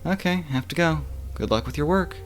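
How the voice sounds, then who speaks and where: A breezy young woman on the phone in a horror story, played by a male narrator. The pitch is lifted well above the narrator's usual, and the talk is casual and friendly, with faint music underneath. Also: animated, fairly quick, close to an everyday voice.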